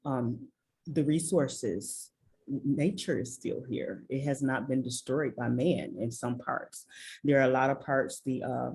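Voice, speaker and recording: A woman from Michigan telling her story as an interview guest, slow and earnest, with frequent ums and restarts over a video call. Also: a bass voice, a little mellow, breathy edge, low-quality recording. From Michigan, USA. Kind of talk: reflective personal storytelling. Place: rural village in southern Belize.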